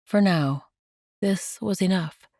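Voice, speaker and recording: A woman narrating romance fiction from a script, in a measured and gentle manner. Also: on the low side, no room sound, studio quality. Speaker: audiobook narrator.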